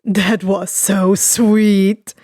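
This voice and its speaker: A woman's voice: mid-range voice, level tone, very slow, a little mellow, no room sound, studio quality, pitched below the performer's own voice. A woman voicing a sardonic, teasing young male villain in a story reading. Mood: mock sweet.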